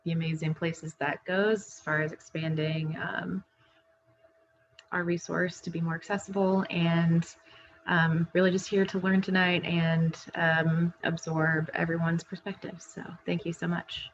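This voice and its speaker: A woman introducing herself on a group video call as a helpline volunteer, soft-spoken, with frequent ums. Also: lowish voice, level tone, a little slow. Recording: dull lo-fi with faint background noise.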